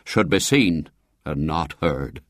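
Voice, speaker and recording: A man's voice: fairly low pitch, animated, very slow, creaky, fairly bright tone, slightly rough, dry recording, clean studio sound, heavily acted. A man voicing a gloomy, stern storybook uncle in a sepulchral, mournful, pompous way.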